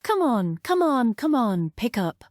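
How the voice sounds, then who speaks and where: A woman's voice: a little high, big pitch swings, a little slow, darkish tone, no echo, studio quality. A woman playing a scheming, sarcastic villainess in a stage table read, sly, mocking and melodramatic. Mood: exasperated.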